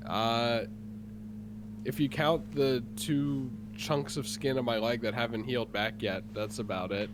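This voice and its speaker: A man's voice: medium pitch, fairly even intonation, measured pace. A man with a stuffy, congested nose, rambling through gaming plans in casual voice chat. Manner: rambling, explains game plans with fillers. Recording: noisy voice chat audio.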